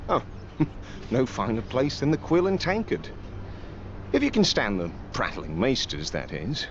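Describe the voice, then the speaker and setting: Game casting: easygoing wry local, hugely varied intonation, faint noise. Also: male voice, mid-range voice, big pitch swings, a little slow. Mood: amused. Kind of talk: video game cutscene acting. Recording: dull audio with faint outdoor noise.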